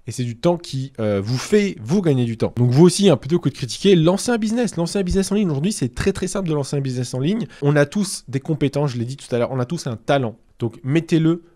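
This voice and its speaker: A man's voice: a little high, lively intonation, fast, hardly any pauses, warm tone, no room sound. A man in his thirties hosting a real estate training channel, giving direct advice to camera in a persuasive way, speaking straight to the viewer and using rhetorical questions. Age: 30s.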